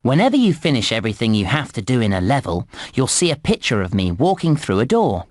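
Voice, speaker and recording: Cheerful, instructive park guide for a children's computer game; very animated delivery, dry studio sound. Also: male voice, medium pitch, quick pace, no echo. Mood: upbeat, encouraging. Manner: encouraging, instructive, clearly enunciated. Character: cheerful, encouraging male theme park guide. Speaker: game narrator and tutorial guide. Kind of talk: scripted children's game narration.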